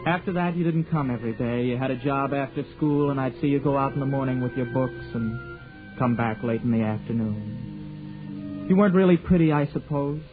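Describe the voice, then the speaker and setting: Young actor as a gentle, halting shy fellow; tinny old broadcast with music behind. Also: male voice, mid-range voice, lightly acted. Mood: narrating. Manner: hesitant, stammering, gentle. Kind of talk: 1940s radio acting and narration. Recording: lo-fi 1940s radio with music.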